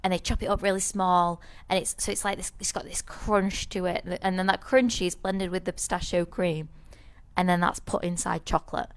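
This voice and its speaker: A woman's voice: on the low side, fairly even intonation, fairly quick. A woman co-hosting a podcast, telling a rambling story in casual chat, with many restarts. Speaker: podcast co-host.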